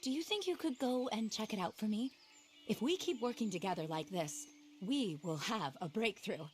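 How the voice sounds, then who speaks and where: A woman's voice: medium pitch, animated, normal speed, a little mellow, slight background noise, close to an everyday voice. A woman acting an eccentric, passionate, animal-loving naturalist in a video game, theatrical, earnest and a little scattered. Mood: musing, earnest. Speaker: quest-giving wildlife specialist in a western game.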